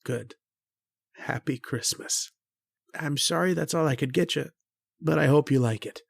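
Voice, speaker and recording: An actor playing an earnest, kindly struggling writer who narrates an old-time radio horror drama in a reflective, hesitant way. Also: male voice, on the high side, a little slow, gravelly, slightly rough, no echo, clean studio sound, full character voice. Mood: tender, hushed.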